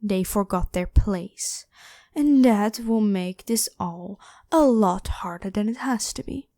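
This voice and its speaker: A woman's voice: a little high, a little mellow, no room sound, lightly acted. Woman acting a haughty, evil pharaoh queen, dry close sound. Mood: proud, boastful. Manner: haughty, commanding, theatrically scornful. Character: evil ancient female pharaoh, imperious, demanding, controlling and ruthless. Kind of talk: scripted ASMR roleplay addressed to the listener.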